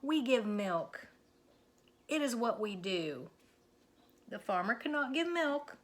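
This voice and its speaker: A sensible storybook cow with an excitable streak, acted out by a woman reading aloud. She sounds high and exclaiming, drawing out her protests slowly. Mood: doubtful, patient. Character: sensible, doubtful cow, excitable female best friend. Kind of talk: children's storybook read-aloud.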